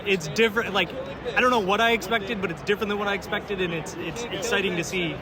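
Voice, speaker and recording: A man's voice: high voice, fast. A man attending a convention, giving short, easygoing, enthusiastic answers to an interviewer. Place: busy convention floor. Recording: crowd noise on a convention floor.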